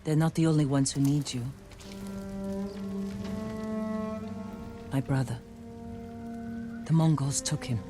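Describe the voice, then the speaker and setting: A woman's voice: a bass voice, steady tone, moderate pace, over loud music. An actress voicing a determined, wary, streetwise woman in a cinematic video game, speaking bluntly and earnestly.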